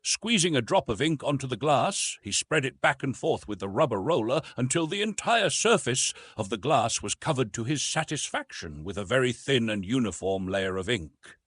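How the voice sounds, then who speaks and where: A man reads scripted adventure fiction as an audiobook narrator. His voice sits at a middle pitch with a husky texture. He moves through the sentences with lively, varied intonation. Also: normal speed, few pauses, no echo.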